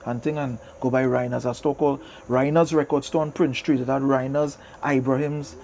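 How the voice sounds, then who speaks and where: A man's voice: medium pitch, level tone, brisk, creaky, mellow, a little hiss or hum. A middle-aged man with a Trinidadian accent reminiscing casually as an interview guest, in loose, informal phrasing full of affirmations. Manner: informal, peppered with "yeah man" and "you know".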